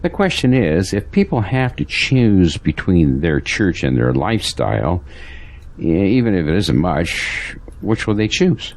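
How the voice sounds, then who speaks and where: A man's voice: low voice, very animated, a little slow, darkish tone, heavily acted. An actor playing a resigned, pragmatic churchgoing man in an audio drama, talking in a matter-of-fact, pondering way. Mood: worried.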